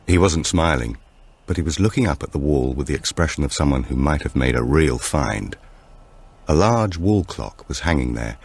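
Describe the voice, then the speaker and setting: A man's voice: extremely low pitch, a little mellow. A man reading a thriller audiobook as narrator, in a dramatic scripted storytelling style.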